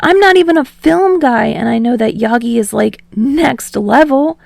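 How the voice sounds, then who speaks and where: A woman's voice: on the high side, lively intonation, normal speed, creaky, a little mellow, no room sound, studio quality. A woman voicing a friendly, honest, easily awed young man with a candid, enthusiastic way of talking. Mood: awed, excited.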